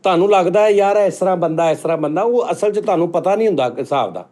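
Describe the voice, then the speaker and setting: Man, Pakistani Punjabi accent, slightly high voice, very quick, insistent, casual comic chat. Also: expressive, darkish tone. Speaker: host of a comedy chat show.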